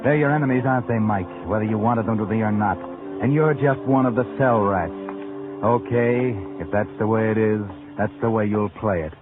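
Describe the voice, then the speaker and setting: A man's voice: lowish voice, normal speed, phone-quality sound, lightly acted. An actor playing a sinister, all-knowing narrator who mockingly needles a guilty man in a 1940s radio suspense show. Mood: taunting, ominous. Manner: sardonic, needling, addresses the listener as the character. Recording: thin old radio recording, loud music behind.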